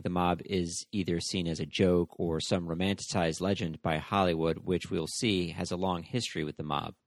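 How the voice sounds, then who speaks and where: A man hosting a history podcast, reading a scripted narration in a measured, explanatory way. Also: low voice, dry recording, studio quality. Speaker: podcast host.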